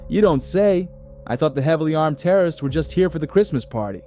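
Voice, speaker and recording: A man doing a gruff barking New York cop. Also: on the high side, expressive, normal speed, darkish tone, faint music behind, pitched above the performer's own voice, lightly acted. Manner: barking, sarcastic.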